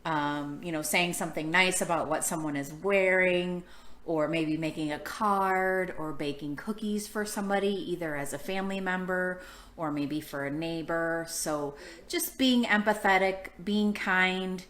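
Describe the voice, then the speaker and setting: A woman hosting a children's storytime, reading a picture book aloud and chatting to young listeners with gentle questions. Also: on the low side, measured pace. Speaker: children's storytime host and librarian. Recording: echoey room sound.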